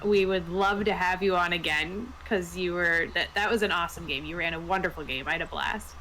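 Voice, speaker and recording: A woman's voice: lowish voice, brisk, sharp tone. Enthusiastic woman co-host, faintly noisy recording. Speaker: show co-host. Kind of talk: casual chat.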